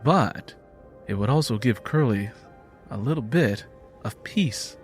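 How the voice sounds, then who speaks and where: A male narrator reads dramatic fiction at a medium pitch. Faint music plays behind his storytelling. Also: animated, slow.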